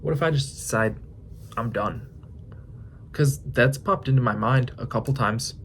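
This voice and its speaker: A man in his twenties talking through his thoughts in a casual vlog, musing with pauses and restarts. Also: mid-range voice, a bit bright, a little hiss or hum. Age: 20s. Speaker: fitness vlogger. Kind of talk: reflective casual vlog monologue.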